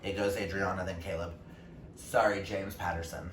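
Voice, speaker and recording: A man chatting casually about books as a vlogger, gushing and emphatic with dramatic pauses. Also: deep voice, fairly even intonation. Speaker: book reviewer vlogger, reading challenge co-host. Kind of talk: casual book vlog chat. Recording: roomy, distant mic, faint noise.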